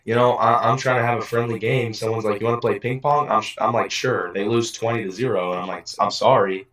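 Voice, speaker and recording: A man's voice: fairly low pitch, steady tone, brisk, a bit bright, low-quality recording. A man casually reacting to a football video, joking and mock-bragging. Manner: joking, mock-boastful, playful asides.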